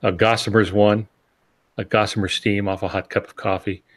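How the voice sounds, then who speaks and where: Older man, low voice. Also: level tone, moderate pace. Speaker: podcast panelist and novelist. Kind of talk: casual panel discussion.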